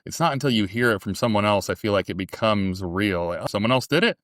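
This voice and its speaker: A man in his late thirties hosts a podcast, opening with a lightly scripted intro before settling into friendly, reflective questions. His voice is on the lower side. The recording is dry and close. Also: animated, fast, fairly bright tone, no echo. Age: 30s. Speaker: podcast host. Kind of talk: podcast interview hosting.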